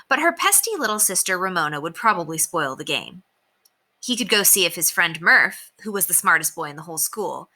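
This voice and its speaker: Woman, children's story narration, sharp bright tone, lively swooping intonation, emphatic delivery. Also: mid-range voice. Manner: expressive, emphatic. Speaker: storybook reader. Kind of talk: expressive read-aloud narration of a children's book.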